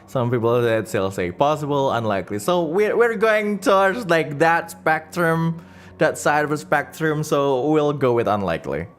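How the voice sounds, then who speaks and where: A man running a tabletop campaign as game master and streamer, improvising the story in a halting way and repeating words and phrases. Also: on the high side, lively intonation.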